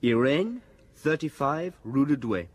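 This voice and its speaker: An actor playing a deferential, tentative young police detective in a radio crime drama. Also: male voice, medium pitch, expressive, a little slow, a little hiss or hum, close to an everyday voice. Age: young adult.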